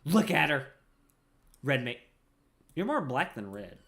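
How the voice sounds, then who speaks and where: Casual male gamer thinking out loud. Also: medium pitch, sing-song, takes it slowly, creaky. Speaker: gamer recording a playthrough. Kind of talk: casual gameplay commentary, talking to self.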